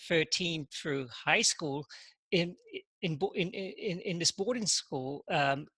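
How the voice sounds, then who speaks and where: A man, a podcast guest telling his story in casual interview talk, halting and full of "you know" and repeated words. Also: a little high, sharp tone, breathy edge, dry recording. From England.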